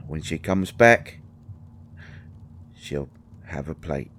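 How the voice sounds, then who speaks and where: An actor playing a grieving, gruff and defensive fairy husband in a roleplay audio drama, speaking haltingly and with raw emotion. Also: male voice, extremely low pitch, expressive, a little hiss or hum, heavily acted. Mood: irritated, defensive. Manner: halting, emotional, swinging from snappish outbursts to quiet murmurs.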